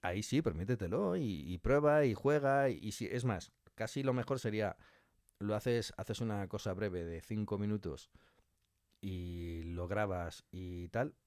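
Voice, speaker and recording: Low-voiced man chatting, close and dry. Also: unhurried, darkish tone, clean tone, no room sound. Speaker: audio fiction writer and director, interview guest. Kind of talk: casual interview conversation.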